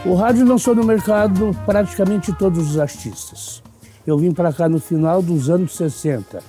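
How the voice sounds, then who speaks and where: An older Brazilian man reminisces in interview style. His voice is high in pitch yet very soft and dark in tone, with faint music behind. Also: moderate pace.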